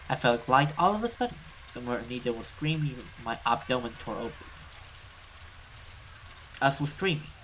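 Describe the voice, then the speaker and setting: Bright-voiced man reading horror, noisy background. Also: medium pitch. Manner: stumbles over words and rereads lines. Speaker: let's play host. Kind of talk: reading horror visual novel narration aloud with reactions.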